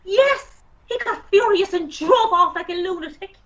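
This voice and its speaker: A woman with an Irish accent playing an irate, sarcastic, sharp-tongued racehorse owner in an amateur radio play. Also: extremely high pitch, a little slow, muffled recording. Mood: indignant. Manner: indignant, sarcastic, scornful.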